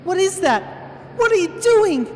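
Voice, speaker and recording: A man's voice: very high voice, big pitch swings, unhurried, long reverb tail, a little hiss or hum, heavily acted. A man playing a confused, worried young hero in an audio drama, with an earnest, questioning manner. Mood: alarmed. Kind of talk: hobby audio drama acting.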